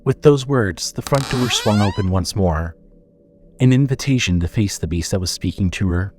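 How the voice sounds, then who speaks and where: Low-voiced man narrating horror fiction. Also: expressive, darkish tone, soft background music. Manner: measured, suspenseful storytelling. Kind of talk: scripted horror story narration.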